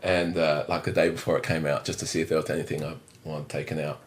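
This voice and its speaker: A very low-voiced Australian man in his thirties, chatting as a podcast guest. He rambles freely, restarts his sentences and pokes fun at himself. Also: little pitch movement, quick pace, slight background noise. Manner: rambling, self-deprecating, restarts a lot. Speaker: drummer and musical director, podcast guest. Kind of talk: casual interview chat. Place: indoors, on a couch.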